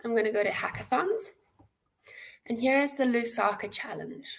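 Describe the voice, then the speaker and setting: Higher-pitched South African woman presenting. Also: moderate pace, creaky. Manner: informal, step-by-step explaining. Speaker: hackathon host and presenter. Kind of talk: live platform walkthrough, instructional. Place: indoor room, on a livestream webcam.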